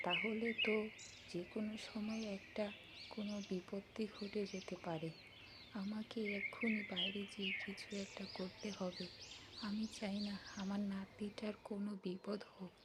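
A woman plays a doting village grandmother in a cartoon folk tale, anxious and quick to scold. Her pitch is average and her manner fussing and pleading. Loud noise and birdsong run behind her. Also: close to an everyday voice.

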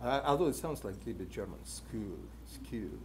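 Lively Russian-accented man lecturing. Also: on the low side, fairly quick, slight background noise. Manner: animated, many "uh" fillers, questions to the audience. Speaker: conference speaker. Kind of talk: lecture to a live audience. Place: indoor meeting room at a podium.

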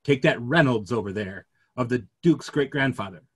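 A man's voice: mid-range voice, expressive, normal speed, husky. A man in his fifties reading a play as a mild, earnest clergyman who reasons things out at length. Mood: earnest reasoning. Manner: earnest, discursive. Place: small study, video call.

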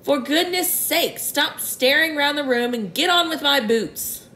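A boastful, grinning centipede who crows about himself in a high, gleeful voice, as a woman reading a storybook puts him on. He talks loudly and loves to show off. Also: lively intonation, measured pace, slight background noise, heavily acted. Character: boastful grinning male centipede, a cheeky rascal.

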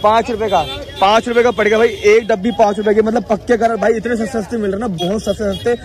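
A man's voice: high-pitched, steady tone, fast talker, crowd noise. A young man filming a casual vlog on his phone as he walks a busy outdoor street market, chatting with sellers and repeating each price to the camera. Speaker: vlogger. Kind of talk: handheld market walkthrough vlog. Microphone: phone held at arm's length.

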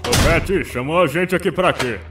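A man's voice: a little high, brisk, over loud music, close to an everyday voice. A man with a Brazilian accent voicing a weary, suspicious, easily exasperated small-town policeman in a cartoon. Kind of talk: cartoon dub.

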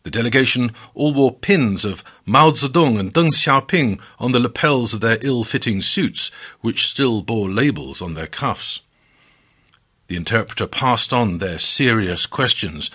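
A man narrating a scripted story as a podcast storyteller, with dramatic, measured phrasing and drawn-out emphasis. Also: lowish voice, expressive, bright, forceful tone, breathy edge.

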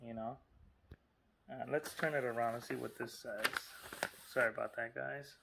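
A man's voice: mid-range voice, steady tone, moderate pace, vocal fry, very noisy. Chatty man showing a map. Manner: chatty and informal, lots of fillers and asides. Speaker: vlogger. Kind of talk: casual vlog narration.

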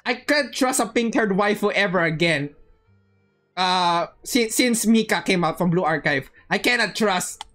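Casual game livestreamer, lively intonation, teasing, sweary, slangy, faint music underneath. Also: medium pitch, a little slow. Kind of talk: casual live commentary to chat.

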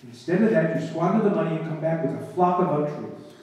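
Man as exasperated father, animated, in echoey hall. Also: a little high, quick pace, natural voice. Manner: stern, flustered, stammering interrogation. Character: exasperated, soft-hearted father scolding his little daughter. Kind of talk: old-time radio comedy acting on stage. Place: small auditorium stage, audience in dark. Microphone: far camera. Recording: echoey hall, distant camera, faint noise.

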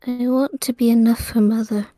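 A woman's voice: fairly high pitch, steady tone, moderate pace, mellow, dry recording, lightly acted. A woman voicing a timid, eager eight-year-old boy in a Minecraft roleplay, meek and hesitant. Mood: shy, hopeful.

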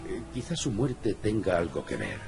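An actor playing a blunt, world-weary cat private detective in a video game, with a gravelly voice. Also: male voice, deep voice, wildly swooping pitch, moderate pace, music in the background, full character voice. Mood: businesslike. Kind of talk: video game voice acting, noir.

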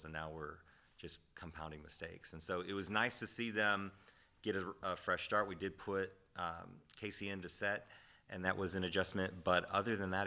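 A man in his forties, a head volleyball coach, answering reporters' questions in a postgame press conference at a desk mic, measured and reflective with frequent restarts. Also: low voice, pushed and bright. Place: press room with sponsor backdrop. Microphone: desk microphone.

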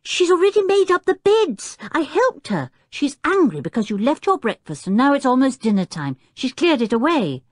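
Storybook role: loud, defiant, excitable little lad performed by a woman, high and swooping, very insistent. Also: moderate pace, hardly any pauses, no room sound, clean studio sound. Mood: insistent, excited. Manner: insistent, shouting.

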